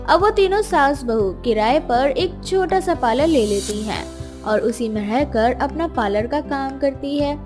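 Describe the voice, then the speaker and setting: A woman's voice: high voice, expressive, a little slow, over music. A woman storyteller reading scripted narration of a moral tale.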